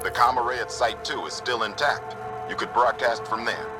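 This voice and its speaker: A man's voice: mid-range voice, fairly even intonation, normal speed, music in the background, natural voice. A man playing a cautious, earnest colony outpost leader in a video game, serious and burdened. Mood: concerned.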